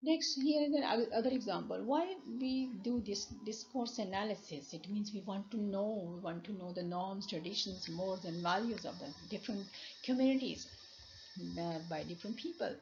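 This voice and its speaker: A woman lecturer with a Pakistani English accent, a native Urdu speaker, explaining slides slowly with many fillers and restarts. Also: medium pitch, a little mellow. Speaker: university lecturer. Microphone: voice-over on slides. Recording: dull lo-fi recording with faint noise.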